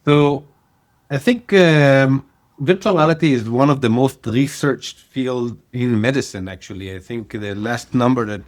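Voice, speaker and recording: A man in his forties with an Israeli accent speaks as a podcast guest. He talks at a middle pitch, pausing often and filling gaps with "uh" as he explains. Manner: halting, many pauses and "uh" fillers. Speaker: guest, company founder. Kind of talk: podcast interview.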